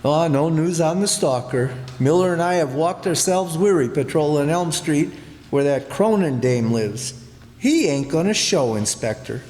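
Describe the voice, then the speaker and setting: A man's voice: a little high, expressive, measured pace, a little mellow. A man playing a weary, grumbling beat cop with resigned tough talk in an old-time radio drama. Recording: echoey hall, clear background noise.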